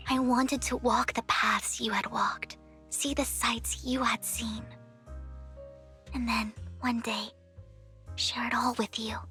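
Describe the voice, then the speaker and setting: A woman voicing a devoted, formal young woman in a video game cutscene, speaking in a hushed, breathy way. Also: on the high side. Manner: hushed, breathy confiding delivery.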